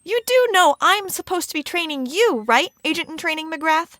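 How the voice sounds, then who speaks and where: A woman's voice: very high-pitched, lively intonation, moderate pace, a bit bright, no room sound. An actress playing an earnest, fussy woman agent who trains a newcomer. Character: earnest, flustered young female agent trainer.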